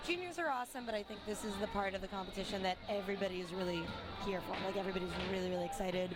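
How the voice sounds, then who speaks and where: A woman with a hoarse voice giving chatty, laughing live commentary at a dance event. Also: mid-range voice, very fast, poor audio. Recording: live stream with loud music and crowd behind. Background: loud swing music and crowd.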